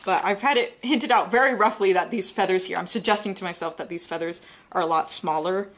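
A woman's voice: medium pitch, brisk. A young woman with a Southern American accent, an art instructor talking step by step through a live drawing demonstration in a studio, often correcting her own words as she sketches. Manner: relaxed, explains step by step while sketching, self-correcting. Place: studio with an overhead desk camera.

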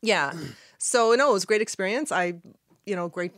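A woman in her thirties from Canada, whose first language is Arabic, chatting as a podcast guest in a small studio on a boom mic, laughing often. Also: normal speed, a bit bright, dry recording. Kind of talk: casual interview chat. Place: small studio with red curtains. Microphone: studio mic on boom arm.

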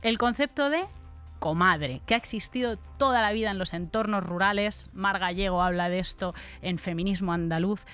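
A woman's voice: mid-range voice, normal speed, a bit bright, clean tone, faint music behind. A woman in her forties with a Spain Spanish accent, co-hosting a podcast in an articulate, frank way, close on a studio mic. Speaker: podcast co-host. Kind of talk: opinionated storytelling podcast chat. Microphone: close studio microphone on arm.

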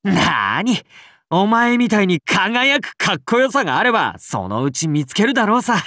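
A breezy young paladin king from a video game. He banters casually and warmly with a very lively pitch, recorded dry and close. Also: normal speed, dry recording, full character voice. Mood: breezy, friendly. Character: breezy, friendly young male paladin king, cool and teasing. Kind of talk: scripted game character dialogue.

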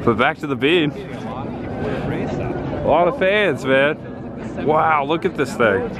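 A man in his thirties narrating a casual walking tour to his camera, chatty and easily excited as he points things out. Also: a little high, slow. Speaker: vlogger. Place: busy city park and streets outdoors. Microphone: handheld camera at arm's length. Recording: loud crowd and street noise outdoors. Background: crowd chatter and traffic.

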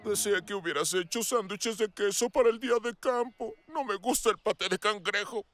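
A man voicing a kindly, excitable and fussy older man in a cartoon. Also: high-pitched, normal speed, soft background music. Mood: candid.

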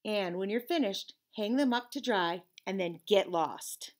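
A woman voicing a storybook lizard's bossy, scolding conscience, interrogating with drawn-out suspicion. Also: mid-range voice, natural voice. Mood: stern, bossy.

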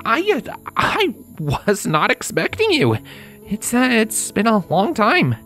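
Actor as male unicorn, bookish, awkward, flustered stammer, very animated pitch, music behind, storybook drama. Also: slow, full character voice. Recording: music underneath.

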